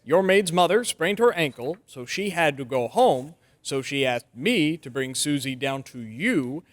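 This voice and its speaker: An actor playing a sheepish, well-meaning gentleman neighbor in an old-time radio drama, hesitant and apologetic. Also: fairly high pitch, lively intonation, a little slow.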